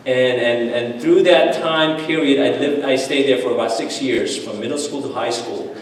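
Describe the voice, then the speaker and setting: A man's voice: mid-range voice, moderate pace. Man telling a story in an echoey church hall. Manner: animated storytelling with many restarts and fillers. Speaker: seminar speaker. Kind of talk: personal testimony talk. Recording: echoey hall, far from the mic.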